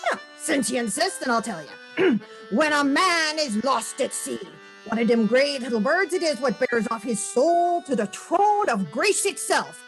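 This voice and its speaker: An ominous sailor of a radio play, full of superstition and sea lore. The voice is high and the pace drawn out, with dramatic weight on the words. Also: female voice, lively intonation, soft background music, rough recording. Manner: slow, dramatic, ominous storytelling. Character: superstitious Irish sailor, doom-laden teller of sea lore.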